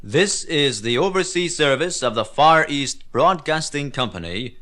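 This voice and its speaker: A man's voice: a little high, expressive, unhurried, pushed and bright. A man reading a formal scripted station identification as an overseas radio announcer, on a restored 1960s shortwave recording.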